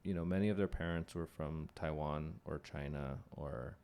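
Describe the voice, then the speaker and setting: A man's voice: very deep voice, moderate pace, dark tone. A young American man, a podcast host chatting casually and musing aloud, often trailing off mid-thought. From United States. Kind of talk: casual conversation.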